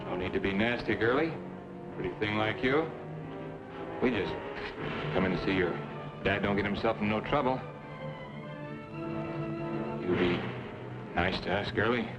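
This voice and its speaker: Man as a low, leering western thug. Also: a little slow, pauses often, lightly acted. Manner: folksy, rough grammar. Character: leering, menacing western henchman. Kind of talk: old-time radio western acting. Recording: dull old radio, loud music behind.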